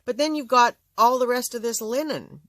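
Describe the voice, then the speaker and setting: An older woman with a slightly raspy voice hosting a casual, rambling craft tutorial, full of ums and asides. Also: fairly high pitch, moderate pace, a bit bright, no room sound, clean studio sound. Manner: rambling, chatty, many fillers. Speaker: craft channel host.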